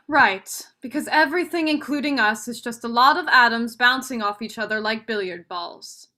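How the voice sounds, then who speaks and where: A young woman student actor playing a bright, outspoken, romantic young woman in a staged play reading. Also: a little high, moderate pace. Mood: eager, musing. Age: young adult.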